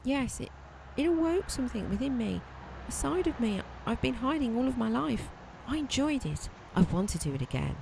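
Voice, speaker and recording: A performer playing a murderous maid in an amateur audio drama, intense and conflicted, speaking in a halting, self-justifying way. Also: medium pitch, very animated, vocal fry, noisy background. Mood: anguished confessing.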